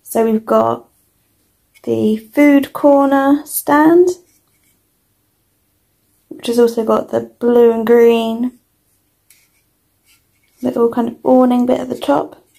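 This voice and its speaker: Slow, even-voiced young British woman. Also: a little high, vocal fry. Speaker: toy reviewer. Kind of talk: casual product walkthrough.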